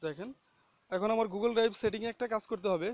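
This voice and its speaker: High-pitched man giving a tutorial. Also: a little fast, no room sound. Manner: instructional, often checking with "okay?". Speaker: tutorial host. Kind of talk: casual step-by-step phone app screen tutorial.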